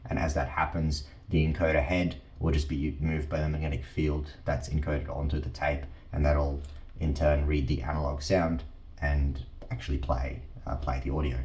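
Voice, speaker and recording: A man giving a casual hands-on explanation, haltingly and with repeated words, as a host. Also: extremely low pitch, flat delivery, a little mellow. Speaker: host of a teardown channel. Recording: roomy, echoing sound.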